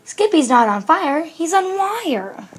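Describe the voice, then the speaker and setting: Woman voicing a cheeky, high little kitten girl. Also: lively intonation, normal speed, very noisy, lightly acted. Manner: gushing, teasing. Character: cheeky, sing-song little kitten sisters. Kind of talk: storybook character voice.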